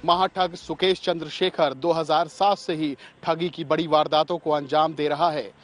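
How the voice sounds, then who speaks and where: A man's voice: a little high, steady tone, moderate pace, soft background music. A man working as a news reporter, explaining a story in report style.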